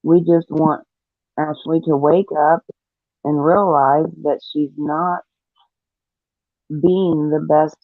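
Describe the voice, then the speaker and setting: A man speaking deliberately as a panelist on a live discussion, over narrow audio. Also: on the high side, fairly even intonation, no room sound. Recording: narrow phone-like audio, very dry.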